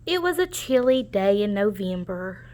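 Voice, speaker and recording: A woman reading a children's story aloud, pausing to explain things to young listeners. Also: mid-range voice, sing-song, darkish tone. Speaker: storybook reader. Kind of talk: children's read-aloud narration.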